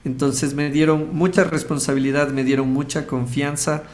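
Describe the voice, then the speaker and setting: A man's voice: fairly high pitch, flat delivery, moderate pace. A man with an Ecuadorian accent, casually telling a personal story as an interview guest, acting out bits of dialogue as he goes. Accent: Ecuadorian Spanish. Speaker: radio host interviewed as guest.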